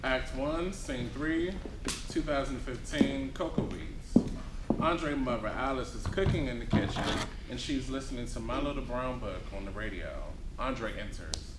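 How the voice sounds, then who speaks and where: A man with a medium-pitched voice reads stage directions as narration. The recording is roomy and distant, with loud background noise. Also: a little slow. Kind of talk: scripted narration. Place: theater stage, live reading.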